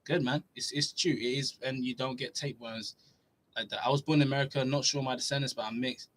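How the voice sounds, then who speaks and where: A young man from Norway hosts a live question-and-answer stream, reading out viewer questions and answering in a loose, chatty manner. His voice sits at an ordinary middle pitch. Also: quick pace. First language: Norwegian. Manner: relaxed, chatty, reads questions aloud then answers. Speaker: fitness YouTuber answering live chat. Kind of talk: casual livestream Q&A.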